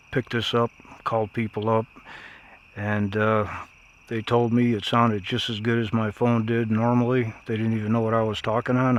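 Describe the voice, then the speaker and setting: A man giving a casual, unscripted product review in a plainspoken, folksy way. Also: on the low side.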